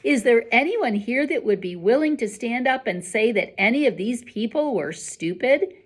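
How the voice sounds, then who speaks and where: Woman voicing male schoolteacher, storybook character, earnest, encouraging, emphatic, lively swinging intonation. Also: a little high, moderate pace, pitched above the performer's own voice. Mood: earnest, rousing. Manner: rhetorical, emphatic, instructive.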